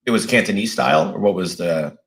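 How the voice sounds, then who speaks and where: Very deep-voiced chatty interviewer. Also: male voice, quick pace. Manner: friendly, chatty, filler-heavy. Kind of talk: casual interview questions.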